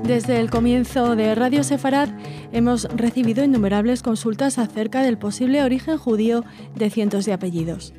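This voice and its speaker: A woman hosting a radio program, reading listener letters aloud in a measured, gentle way with occasional hesitations. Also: fairly high pitch. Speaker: radio host. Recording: faint music underneath.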